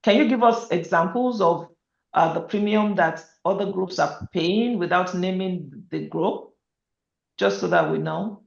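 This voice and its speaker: A woman's voice: on the low side, a little slow, vocal fry. A woman moderating an informal group call, speaking a little hesitantly in short acknowledgements and questions. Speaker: meeting moderator. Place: remote online group call. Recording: roomy, dull call audio.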